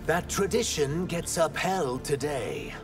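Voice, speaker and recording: An actor playing a proud, guarded reptilian warrior in a video game, with a stern delivery. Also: male voice, on the high side, huge pitch swings, slow pace, over music, full character voice. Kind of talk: dramatic video game dialogue.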